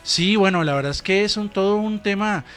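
A man from Costa Rica talks about his songwriting in an earnest, reflective way, pausing often as he works out his thoughts. His voice sits a little high, and faint music plays underneath. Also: fairly bright tone. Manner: earnest, reflective, halting with pauses. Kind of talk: interview answers.

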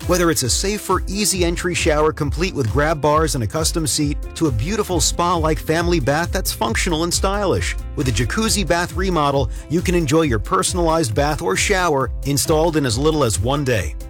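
Male ad announcer, smooth sales read, slightly high, faint music bed. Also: lively intonation, fairly quick, few pauses, a bit bright. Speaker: commercial announcer. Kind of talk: scripted radio ad read.

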